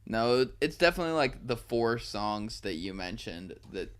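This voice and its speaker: A man's voice: mid-range voice, clear, resonant tone. A man in his twenties co-hosting a podcast, chatting casually and swearing freely. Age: 20s. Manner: animated, swearing, quick laughs. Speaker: podcast co-host. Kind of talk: casual chat.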